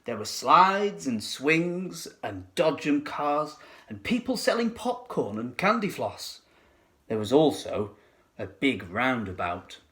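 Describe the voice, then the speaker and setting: Animated man reading a children's story. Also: fairly high pitch. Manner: dramatic, deliberate phrasing. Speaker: teacher reading to pupils. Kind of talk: expressive children's storybook narration.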